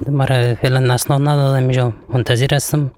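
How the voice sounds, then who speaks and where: A man's voice: medium pitch, little pitch movement, very fast, darkish tone, dry recording. A young man from Afghanistan giving a personal account in short, plain sentences. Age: young adult. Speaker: interviewee.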